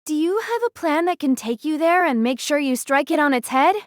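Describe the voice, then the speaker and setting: A woman's voice: sits high, fairly quick, no room sound, clean studio sound, close to an everyday voice. A woman voicing a young female squad captain who gives firm, decisive orders in an audio drama. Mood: commanding. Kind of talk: fan audio drama acting.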